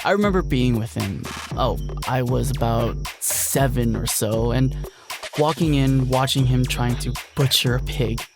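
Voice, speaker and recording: A man playing a grieving country man who fondly and reflectively remembers his late grandfather, with dramatic pauses, in an audio drama. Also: mid-range voice, vocal fry. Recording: loud music behind the voice. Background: music.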